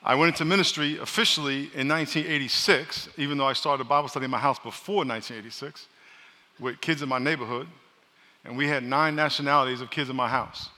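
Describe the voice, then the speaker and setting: A pastor preaching a sermon, loud and joking, calling on his congregation to answer back. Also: mid-range voice, fairly even intonation, fast. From New York. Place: large church hall. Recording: live sermon in a reverberant hall.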